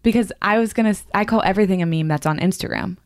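A woman co-hosting a podcast, chiming in with quick remarks and reading out a definition. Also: medium pitch, no room sound, clean studio sound. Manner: quick interjections, lively, reads definitions aloud. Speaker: podcast co-host. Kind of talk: casual podcast chat.

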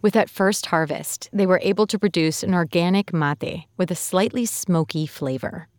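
A woman hosting a podcast, reading a scripted story in a measured, clear storytelling voice. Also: on the low side, no room sound, studio quality. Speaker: podcast host and narrator. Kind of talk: scripted narration.

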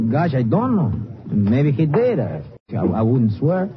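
An actor playing a chatty, evasive boxing trainer, excitable and repetitive, in slangy broken English, in a 1950s radio drama. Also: male voice, mid-range voice, takes it slowly. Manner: excitable, repetitive, nervously affable. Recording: muffled, noisy old radio recording.

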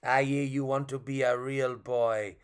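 A grumpy clown puppet from a children's story, voiced by a man, with a theatrical French accent. He makes slow, formal pronouncements with plenty of drama. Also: medium pitch, level tone, clear, resonant tone, natural voice. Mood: solemn. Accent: put-on French.

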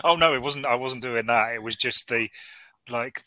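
A man chatting as a guest on a football podcast, jokey and animated, with playful outbursts. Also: mid-range voice, moderate pace, dry recording. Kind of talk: casual football podcast chat.

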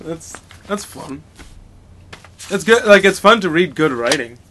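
A man's voice: fairly high pitch, animated, a bit bright, slight background noise. A man co-hosting a podcast, bantering loosely and laughing often between lines. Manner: giggly, drawn-out, loose. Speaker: podcast co-host. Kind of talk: casual banter recording outtakes.

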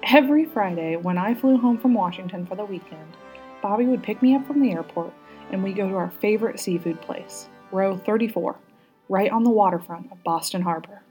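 A woman's voice: medium pitch, brisk, mellow, soft background music, lightly acted, low-quality recording. A woman voicing a tipsy, playful, nostalgic female president in a storybook reading. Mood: fond.

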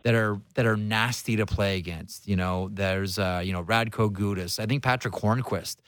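A man's voice: on the low side, fairly even intonation, moderate pace, a bit bright, no echo, studio quality. A man hosting a sports talk show, chatting and asking questions in a conversational, opinionated way. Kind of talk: casual hockey talk and interviewing.

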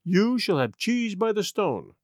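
A man's voice: high voice, big pitch swings, measured pace, a little mellow, dry recording, clean studio sound, pitched above the performer's own voice, heavily acted. A man voicing an adventurous young boy with an earnest, direct manner in a storybook adventure.

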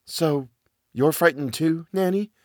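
Brief: man voicing a tense, trembling young heroine, raised and lively, dry close sound. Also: fairly high pitch, takes it slowly, no room sound, voice pushed up. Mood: anxious. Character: nervous, frightened young lady of the house.

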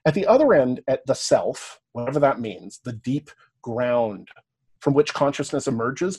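A Canadian man talks through ideas on a podcast in a loose, lecture-like way. His mid-pitched voice has a husky grain to it. He keeps checking in with "right?" and lets a curse slip now and then. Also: a little mellow. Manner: thinks aloud, often checks in with "right?", occasional swearing. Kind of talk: casual podcast discussion, lecture-like.

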